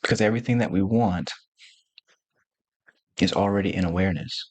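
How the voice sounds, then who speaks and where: A man leading a guided meditation, giving gentle instructions in short, spaced phrases as a teacher. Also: deep voice, moderate pace, no echo.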